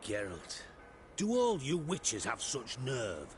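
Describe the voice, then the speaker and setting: An actor playing a proud, menacing spymaster in a video game, with a wordy, theatrical, sarcastic manner. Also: male voice, medium pitch, huge pitch swings, slow pace, a little mellow, slight background noise. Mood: indignant. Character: sardonic, menacing male spymaster, cultured and proud.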